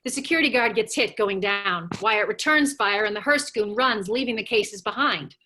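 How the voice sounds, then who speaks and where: A woman reading screenplay stage directions aloud as the narrator of a table read, with animated storytelling. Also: medium pitch, normal speed, rough recording.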